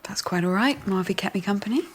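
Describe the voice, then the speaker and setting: An actress playing a guarded, wounded, sharp-tongued woman scientist in a radio drama, speaking in a dry, pointed way. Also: medium pitch, quick pace, slight background noise, lightly acted. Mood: hurt, bitter. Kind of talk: naturalistic radio drama acting.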